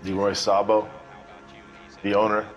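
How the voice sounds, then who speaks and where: A man's voice: fairly low pitch, completely flat delivery, unhurried, muffled recording, faint music behind, natural voice. An American man acting a guarded bartender in a video game, offhand and wry in his answers. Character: guarded, wry male barman at a seedy bar.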